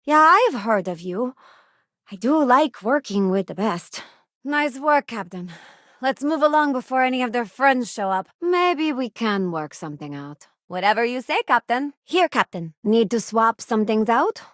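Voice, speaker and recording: An actress playing an earnest, loyal young woman who crews and guns on a spaceship in a video game, speaking conversationally and clearly. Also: fairly high pitch, animated, measured pace, fairly bright tone, dry recording, clean studio sound. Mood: friendly, conversational. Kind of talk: video game companion voice lines.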